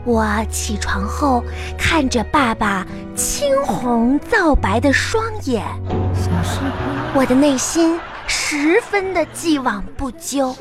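A woman's voice: sing-song, takes it slowly, exaggerated voice. A woman playing a cheeky, whiny little schoolgirl with innocent earnestness in a radio comedy sketch. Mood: innocent, earnest. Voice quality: high childish voice. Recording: music behind the voice.

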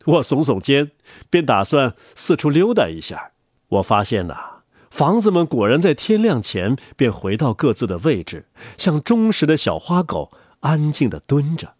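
A man reading a children's story aloud in a gentle, animated storyteller voice, taking part in a family reading for young listeners. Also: medium pitch, normal speed, husky, dry recording, clean studio sound.

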